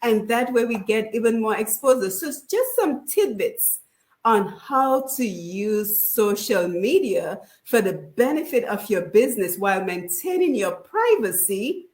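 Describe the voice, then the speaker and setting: A woman's voice: on the high side, big pitch swings, measured pace, a little mellow. A woman hosting a radio panel discussion in a conversational way, often checking in with "right?". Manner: conversational, frequent "right?" tags. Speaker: radio show host. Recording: roomy echo.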